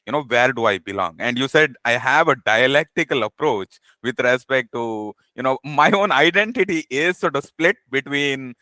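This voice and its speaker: A man's voice: mid-range voice, animated, moderate pace, few pauses, bright, forceful tone, no echo. A man hosting an interview podcast, speaking hesitantly, with many repeated words and "you know" fillers. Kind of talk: casual interview talk.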